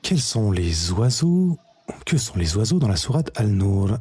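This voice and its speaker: A man hosting a live-streamed quiz in a relaxed, chatty way, often correcting himself. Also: on the low side, a little slow, husky. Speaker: quiz show host.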